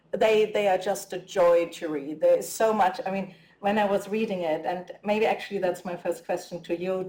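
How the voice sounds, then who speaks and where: A woman's voice: fairly low pitch, darkish tone. A woman academic moderating an on-stage talk. She speaks eagerly and fluently and builds up at length before asking her questions. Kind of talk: interviewing a guest on stage. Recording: roomy echo.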